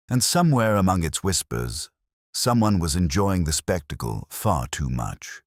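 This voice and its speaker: A man narrates a story with wry, measured storytelling. His voice is extremely low and husky, moving expressively up and down. The sound is close and dry. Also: no echo.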